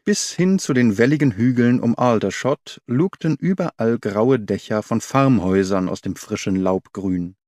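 Measured male audiobook narrator, dry sound. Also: medium pitch, lively intonation, a little mellow, no room sound. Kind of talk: scripted literary narration.

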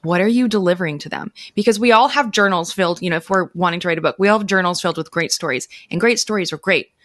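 A woman's voice: fairly low pitch, fast. A woman in her thirties speaking as an interview guest, explaining things in an informal, conversational way. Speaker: interview guest, author and teacher. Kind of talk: conversational advice. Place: indoor room, on a video call. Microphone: close microphone.

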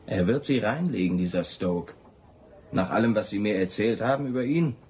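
An actor playing an earnest, worried Brazilian companion in a German radio drama, in a cautioning manner. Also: male voice, on the low side, normal speed, darkish tone, slight background noise, lightly acted. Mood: worried, pleading. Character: earnest, worried loyal Brazilian companion warning his master.

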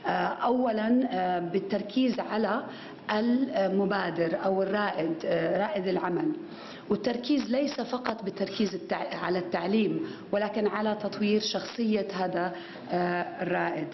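A woman speaks into a podium mic in a big conference hall. Her words carry around the room and the sound is a little muffled. She pauses often, searches for words, and restarts her sentences. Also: mid-range voice, slight background noise. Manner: animated gestures, many fillers and restarts. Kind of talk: conference talk. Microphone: podium microphone.

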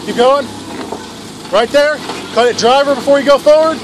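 Man, high voice, spotting directions, outdoor trail, engine noise. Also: normal speed. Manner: calls steady directions to a driver. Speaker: off-road spotter. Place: outdoor rocky canyon trail.

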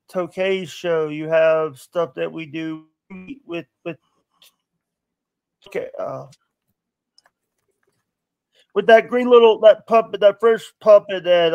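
A man's voice: a little high, darkish tone, smooth, dry recording, lo-fi sound. A man who stutters, hosting a casual podcast chat. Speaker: podcast host.

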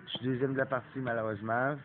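A man's voice: medium pitch, flat delivery, fast, soft background music. A young man with a Québécois accent who has a cold, commenting on a mobile racing game, swearing and apologizing for it. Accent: Québécois French. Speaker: gaming YouTuber. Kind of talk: casual gameplay commentary. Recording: phone-like lo-fi, faint hiss.

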